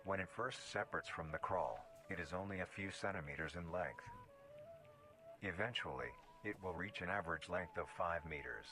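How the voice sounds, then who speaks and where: Male narrator, very low voice, formal and measured nature-documentary read, prominent music bed underneath. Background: music playing under the voice.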